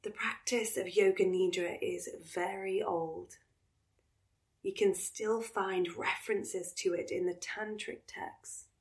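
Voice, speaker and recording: A woman leads a guided relaxation at a very slow, unhurried pace. Her delivery is soothing and instructional, with phrase endings that drift into soft breath. She sounds like a meditation guide settling listeners into deep rest. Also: steady tone. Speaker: yoga nidra meditation guide.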